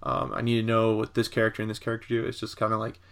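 A man co-hosting a casual podcast discussion about entertainment, talking in a halting, rambling way. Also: on the low side, completely flat delivery, clean tone. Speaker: podcast co-host.

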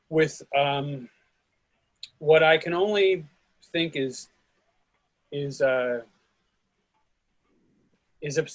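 A middle-aged man giving informal feedback on a play reading in a halting, thoughtful, sincere way. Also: mid-range voice.

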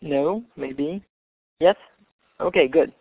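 Man, webinar presenter, hesitant, gravelly voice, tinny phone sound. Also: a little high, dry recording. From Canada. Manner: halting, hesitant delivery. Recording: dry tinny phone line.

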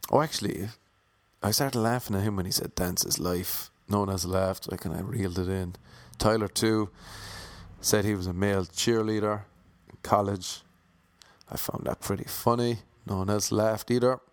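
A man's voice: on the low side, fairly even intonation, moderate pace, no echo. A young man with an Irish accent telling wry, self-mocking stories about his auditions in a casual monologue. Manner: wry, self-mocking, playfully imitating others.

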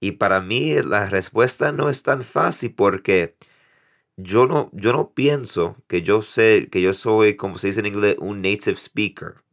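A man in his thirties from New York hosting a casual solo podcast in Spanish, with an accent mixing Dominican and American English, halting and correcting himself often. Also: fairly low pitch. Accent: mix of Dominican Spanish and American English. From New York, United States. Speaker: podcast host.

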